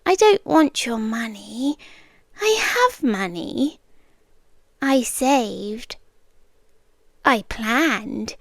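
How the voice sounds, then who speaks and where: British yandere girlfriend played by a woman: high, unhurried, coy and possessive, dry close ASMR roleplay. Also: no room sound, heavily acted. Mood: doting, insistent. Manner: coy, cooing, with soft giggles and whispery dips. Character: obsessive yandere girlfriend, sweetly menacing kidnapper.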